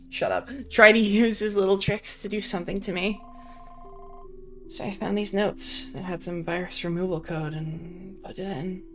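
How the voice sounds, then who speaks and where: A woman's voice: medium pitch, fairly even intonation, unhurried, a bit bright, faint music behind, low-quality recording. A woman voicing an earnest young woman character while reading a fan visual novel aloud on stream.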